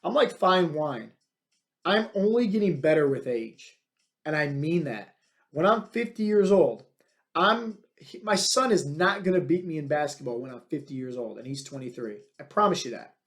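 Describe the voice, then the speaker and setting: A man's voice: a little high, normal speed. A man in his thirties, a fitness coach hosting his own podcast, talking bluntly and with many fillers in a motivational monologue. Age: 30s. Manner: energetic, filler-heavy, many "like" and "right?".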